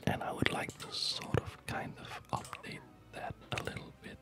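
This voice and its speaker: An ASMR gaming host speaking in a soft unvoiced whisper, rambling in a reflective, hesitant way, close to the microphone. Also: normal speed. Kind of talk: ASMR, unscripted rambling over gameplay. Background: music.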